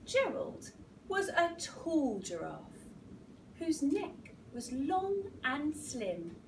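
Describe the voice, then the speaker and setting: A woman reading a children's bedtime story aloud in an expressive, dramatic way, as a teacher would. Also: high-pitched. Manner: measured, animated, dramatic delivery. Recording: roomy, distant mic, faint noise.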